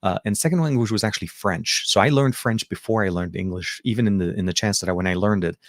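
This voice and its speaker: A man's voice: on the low side, fast, no room sound, clean studio sound. Friendly, rambling Lebanese-born man. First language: Arabic. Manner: rambling, many fillers and restarts, friendly. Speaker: tech show host. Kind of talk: casual livestream chat reading viewer comments. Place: home.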